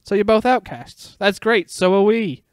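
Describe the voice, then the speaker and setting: Guy doing a cocky braggy meerkat for a story, higher voice. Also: animated, moderate pace, no room sound, clean studio sound. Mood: cheerful. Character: brash, boastful male meerkat.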